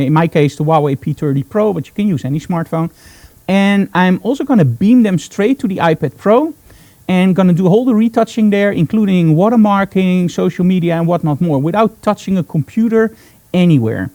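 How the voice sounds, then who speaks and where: A man with a Dutch accent speaks as the host of a live broadcast. His voice sits a little high with a mellow, dark tone, recorded dry and close. He explains things informally, with enthusiasm and emphasis. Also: no room sound. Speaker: host, photographer.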